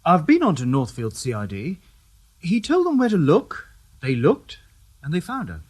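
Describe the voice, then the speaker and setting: An actor playing a respectful police sergeant in a radio drama, reporting matter-of-factly. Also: male voice, on the high side, wildly swooping pitch, moderate pace, breathy edge, exaggerated voice.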